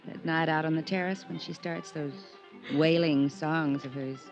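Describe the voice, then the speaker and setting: A woman's voice: low voice, fairly even intonation, moderate pace. An actress playing a bored, chatty young woman on vacation in a 1950s radio drama, casual and world-weary. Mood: bored, wistful. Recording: dull, muffled vintage radio recording. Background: guitar music.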